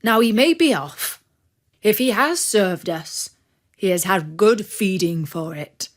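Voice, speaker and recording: A woman voicing a blunt farmer in a fairy tale, loud, bossy and emphatic. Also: medium pitch, expressive, slow pace. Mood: scornful. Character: blunt, emphatic farmer man, master of an old dog. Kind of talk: fairy-tale storybook reading.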